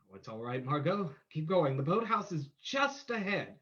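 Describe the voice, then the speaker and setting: A man playing a composed, shrewd gentleman detective with a dry, decisive manner in an old-time radio play. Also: fairly high pitch, sing-song, moderate pace, muffled recording.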